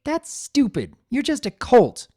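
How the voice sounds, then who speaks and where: Bossy eldest filly played by a narrator: high, grating, quarrelsome, cartoon pony tale. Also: huge pitch swings, unhurried, mellow. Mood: scornful. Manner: indignant, haughty, grating.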